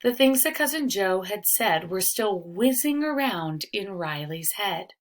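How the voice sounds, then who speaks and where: A woman teacher reading a children's storybook aloud with expressive narration. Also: medium pitch, unhurried.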